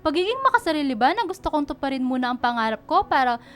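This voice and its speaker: A woman's voice: high-pitched, big pitch swings, quick pace, fairly bright tone. An actress playing an ambitious, earnest young girlfriend in a radio drama. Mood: hurt, defensive. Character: ambitious young woman, earnest girlfriend defending her dream.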